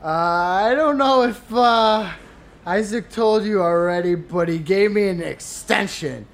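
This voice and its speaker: Slow, pleading debtor in trouble, acted by a woman. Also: mid-range voice, lightly acted. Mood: nervous bluffing. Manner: halting, pleading, groaning. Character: desperate debtor pleading and lying to enforcers. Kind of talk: audio drama acting.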